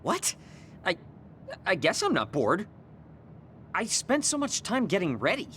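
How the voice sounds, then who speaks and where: A woman's voice: mid-range voice, wildly swooping pitch, unhurried, slight background noise. A woman voicing a grumpy, proud teenage boy in an anime-style game, blunt and easily flustered. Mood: defensive. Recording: loud music behind the voice.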